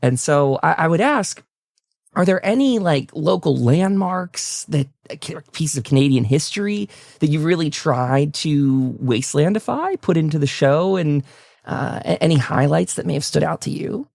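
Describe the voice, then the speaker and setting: Man, podcast host, casual interview, enthusiastic, rambling, some vocal fry, dry sound. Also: on the high side, lively intonation, normal speed, a little mellow, no echo. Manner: enthusiastic, complimentary.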